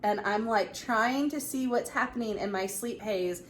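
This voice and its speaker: A woman in her thirties telling a personal story in a casual, chatty, animated way. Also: a little high, normal speed. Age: 30s. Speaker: vlog co-host. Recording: clearly noisy room ambience.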